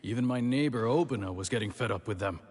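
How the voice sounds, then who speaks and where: A man's voice: mid-range voice, fast, a little mellow, in a large hall, lightly acted. A man voicing a friendly, chatty, grateful townsman in a video game.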